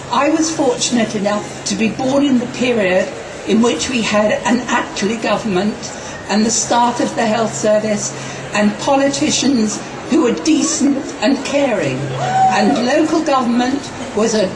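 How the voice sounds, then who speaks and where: An Englishwoman in her sixties addresses an outdoor protest rally in a slow, measured, impassioned voice pitched a little high. She is heard from a distance through an echoing PA. Background noise is clearly heard. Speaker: rally speaker.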